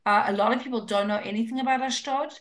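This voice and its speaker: A woman's voice: a little high, fast talker. Earnest woman hosting a video call. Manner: earnest, encouraging. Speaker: host. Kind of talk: casual chat.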